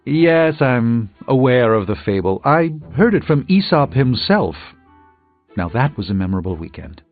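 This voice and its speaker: A man's voice: mid-range voice, sing-song, moderate pace, lightly acted. A man playing a proud, erudite sorcerer superhero in a video game, speaking formally with dry wit. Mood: wry, candid. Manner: formal, wordy, dryly witty. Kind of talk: video game character dialogue. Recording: muffled game capture with music behind.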